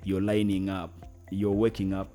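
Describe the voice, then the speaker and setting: A man's voice: low-pitched, monotone, normal speed, dark tone, faint music behind. A man from northern Uganda with a Ugandan English accent, speaking earnestly as a guest in an interview, often searching for words. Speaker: guest, climate activist. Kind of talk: interview conversation.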